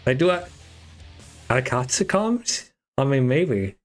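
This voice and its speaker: A man's voice: mid-range voice, big pitch swings, slow pace, faint music behind. A man from Germany, the tournament organizer, giving casual, rambling live commentary on his own and chatting with viewers. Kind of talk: solo live esports commentary.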